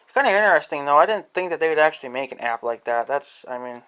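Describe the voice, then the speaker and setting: A man giving a casual, rambling demonstration to viewers, halting and thinking aloud as he talks. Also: a little high, lively intonation, pushed and bright, clean tone, highs cut off. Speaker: amateur video creator demonstrating an app. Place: indoors in own room at home.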